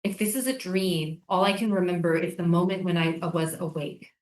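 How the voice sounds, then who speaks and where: A woman's voice: on the low side, fairly even intonation, normal speed, recorded from a distance, close to an everyday voice. A woman in a staged play reading, playing an earnest, persistent writer questioning a military prison. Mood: haunted, reading aloud. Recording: roomy, echoing live staged reading.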